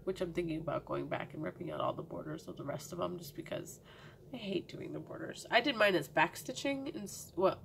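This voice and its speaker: A woman's voice: on the low side, fairly quick, creaky, fairly bright tone, slight background noise. A woman with a cold, vlogging casually at home, rambling with many ums and sometimes dropping to a near-whisper. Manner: drops to a near-whisper at times. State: sniffly with a cold. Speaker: cross-stitch vlogger. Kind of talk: casual chat to camera.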